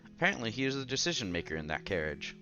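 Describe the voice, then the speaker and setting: A man narrates a visual novel in a low, forceful voice. He now and then trips on a word and restarts. The sound is slightly dull, with music playing underneath. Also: very animated, very fast. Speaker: host of a visual novel read-through. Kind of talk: scripted narration, reading a visual novel aloud.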